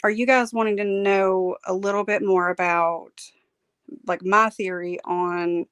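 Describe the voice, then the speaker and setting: A woman's voice: mid-range voice, fairly even intonation, unhurried, fairly bright tone, clear, resonant tone, dry recording. A woman chats casually as a guest, her voice tired and strained. She laughs often and hesitates with many fillers. The sound is dry and close, with no room around it. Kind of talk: casual chat.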